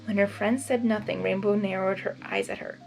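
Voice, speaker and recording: A woman giving a lively, expressive first-time reading of a fan story. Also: mid-range voice, fairly quick, gravelly, faint music behind, rough recording. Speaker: storybook reader.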